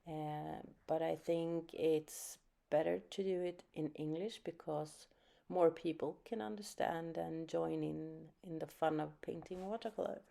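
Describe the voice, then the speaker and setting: A woman's voice: low-pitched, steady tone, unhurried, creaky. A Swedish woman with a Swedish accent, speaking English as she gives an unscripted watercolor painting lesson and thinks aloud as she works. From Sweden. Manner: soft-spoken, thinking aloud with fillers. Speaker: art teacher.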